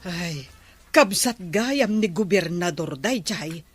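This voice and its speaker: A woman's voice: on the low side, animated, takes it slowly, husky, faint music behind, full character voice. A woman with an Ilocano accent playing a concerned, scolding villager in a comedy drama. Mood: urging.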